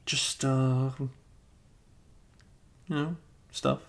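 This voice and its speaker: A man playing a flustered, caring young male best friend with a crush, speaking haltingly in an improvised ASMR roleplay. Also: mid-range voice, fairly even intonation, creaky. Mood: flustered, embarrassed. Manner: halting, bashful, chuckling, casual bro talk.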